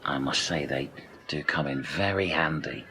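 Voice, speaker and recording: Menacing, sidetracked island gang leader for radio comedy male actor, deep and unhurried, theatrical, faint noise behind. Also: bright, forceful tone. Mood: proud, chatty. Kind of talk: comedic radio play acting.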